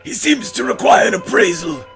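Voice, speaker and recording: Very high-voiced elderly butler, sullen, dutiful, formal, straining to enunciate, storybook character, music behind. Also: male voice, big pitch swings, normal speed, gravelly, fairly bright tone, full character voice. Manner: straining to speak intelligibly, formal servant diction.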